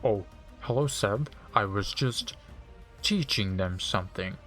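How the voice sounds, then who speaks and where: Man putting on a low, sneering wizard villain. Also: animated, a little slow, fairly bright tone, faint music behind. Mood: feigned innocence. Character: sneering, cruel male wizard villain of a fanfiction.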